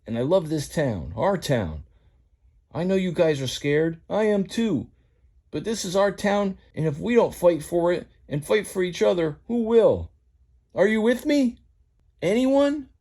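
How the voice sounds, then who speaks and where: Man doing a high-pitched folksy tow truck, rousing, husky edge, lively. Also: a little slow, full character voice. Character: folksy good-hearted male tow truck.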